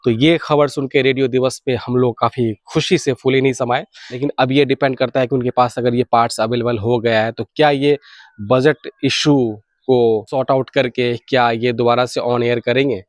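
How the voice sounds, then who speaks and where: A man's voice: medium pitch, fairly even intonation, normal speed, no echo. A man with an Indian accent and a mid-range voice speaks as the host of a hobby channel. He delivers an informal update, explaining things and often restating them in other words. The sound is dry, with hardly any room in it. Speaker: video channel host sharing radio hobby news. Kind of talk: informal news update to viewers.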